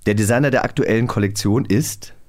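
A man's voice: lowish voice, a little fast. A German man co-hosting a podcast in a casual, playful quiz style. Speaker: podcast co-host.

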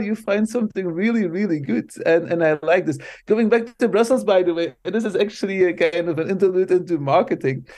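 A man's voice: high voice, a little mellow. A middle-aged man from Belgium, a native Dutch speaker with a Belgian Dutch accent. He is a guest on a podcast, chatting casually and telling stories, and he often doubles up words like "so, so" and laughs between phrases. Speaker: guest, marketing professor.

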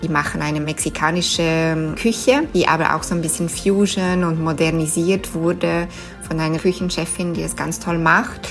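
Low-voiced Swiss woman, thoughtful answers. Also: steady tone, normal speed, soft background music. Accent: Swiss German. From Switzerland. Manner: thoughtful, with frequent fillers and restarts. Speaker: interview guest. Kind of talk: remote interview answers.

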